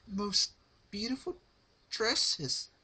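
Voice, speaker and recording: A man voicing a frightened, refined female cartoon pony with a raspy, breathy voice, stammering and gasping. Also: high voice, big pitch swings, extremely slow, pushed and bright, pitched above the performer's own voice, heavily acted. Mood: desperate pleading. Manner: stammering, gasping between words.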